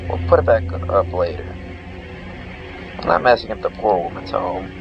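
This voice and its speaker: A man's voice: sits low, lively intonation, normal speed, gravelly, dull audio. A man reading the dialogue of a game aloud as he plays, with game music behind him. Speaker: let's player.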